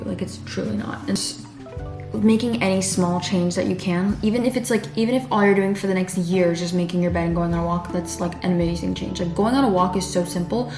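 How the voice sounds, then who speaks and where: A young woman from California chatting casually and rambling, with many fillers and restarts, while getting ready at home. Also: fairly low pitch. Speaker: vlogger. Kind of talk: casual chat. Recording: roomy sound with background music.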